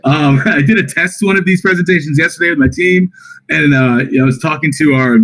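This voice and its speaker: Tired man, slightly raspy, casual Q&A answers over a voice call, lots of fillers. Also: on the high side, fairly quick, rough recording. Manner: relaxed, reads out questions and answers them with many fillers. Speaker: project representative answering community questions. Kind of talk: casual Q&A on a voice chat.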